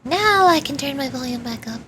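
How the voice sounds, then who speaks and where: Female VTuber streamer: high voice, casual gameplay chatter with playful dramatics, soft music underneath. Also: a little fast. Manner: expressive, playfully dramatic exclamations. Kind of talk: casual livestream gameplay commentary.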